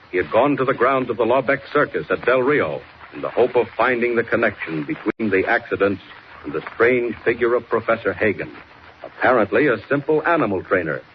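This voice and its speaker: Dramatic male announcer, muffled vintage recording. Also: fairly low pitch. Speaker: announcer and narrator. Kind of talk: dramatic 1940s radio serial narration. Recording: muffled, faintly noisy old radio recording.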